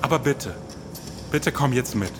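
A man's voice: measured pace, over music, lightly acted. A man acting an anxious podcaster on a perilous expedition in a scripted radio play. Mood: pleading. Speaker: podcast performer. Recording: noisy sound-effect background, vehicle-like rumble.

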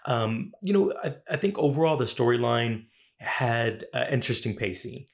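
A man's voice: mid-range voice, moderate pace, creaky. A man hosting a podcast interview, talking in a friendly, hesitant way with many fillers and restarts. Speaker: podcast host. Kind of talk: casual interview chat.

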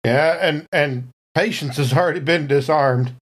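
A man's voice: on the high side, a little slow, a little hiss or hum, natural voice. A man playing a folksy, sardonic, hard-drinking spaceship crewman in a tabletop game.

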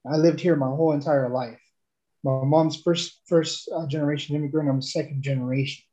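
A man's voice: fairly high pitch, steady tone, fairly quick, dark tone, highs cut off. A young man from El Salvador giving a casual, rambling testimonial, full of fillers and restarts.

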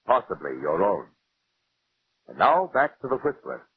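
A man's voice: mid-range voice, very animated, normal speed, gravelly, breathy edge. A man working as a commercial announcer, reading an advertisement in 1940s radio style. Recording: tinny, narrow old radio recording.

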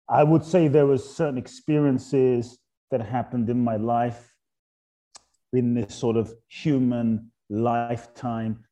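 A middle-aged man from Ghana, a guest author speaking in a thoughtful, halting way about spiritual ideas on a podcast. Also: mid-range voice, warm tone. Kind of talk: reflective podcast conversation.

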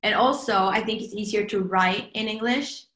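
A woman's voice: medium pitch, moderate pace, fairly bright tone, roomy sound. A young woman from Norway with a Norwegian accent, a singer chatting casually in an interview and saying "like" all the time. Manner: chatty, says "like" constantly.

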